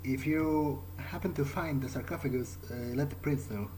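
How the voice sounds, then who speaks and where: A man improvising in character as he plays a video game, conversational, halting and thinking aloud. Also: a little high, darkish tone, a little hiss or hum, poor audio. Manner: hesitant, musing, halting. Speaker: gamer playing in character.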